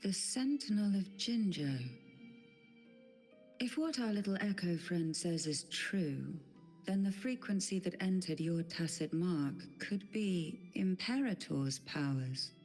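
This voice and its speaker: An actress playing a composed, enigmatic and caring woman in a video game, speaking in a measured, deliberate way. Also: lowish voice, lively intonation, a little mellow, faint music behind. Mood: composed, pondering. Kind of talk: video game character acting.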